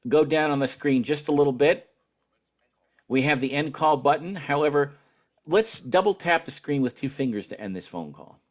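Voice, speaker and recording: A man giving a spoken tutorial as an instructor, explaining each step in a deliberate, patient way. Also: medium pitch.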